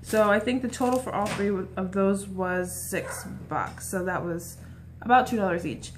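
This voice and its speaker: A woman's voice: mid-range voice, measured pace, background noise. A young adult woman chatting casually and informally to the camera as a shopping vlogger. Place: small room at home, indoors.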